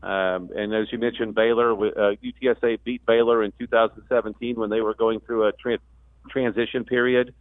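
A man's voice: fairly low pitch, little pitch movement, a little fast, no echo. Man on a thin phone line. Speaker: radio play-by-play announcer as guest. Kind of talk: live sports talk radio interview.

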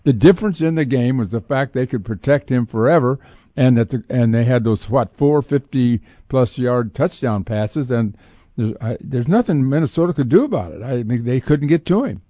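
Male sports talk host, dark-toned voice, casual stop-start commentary, no room sound. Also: medium pitch, lively intonation, moderate pace, dry recording.

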